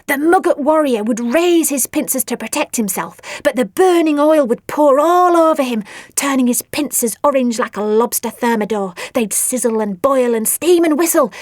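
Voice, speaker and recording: Storybook babysitter, female, voiced by a woman reader: scheming, playful, melodramatic, high-pitched, very dry sound. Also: lively intonation, normal speed, darkish tone, no echo. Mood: eager, goading. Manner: exclamatory, melodramatic, wheedling.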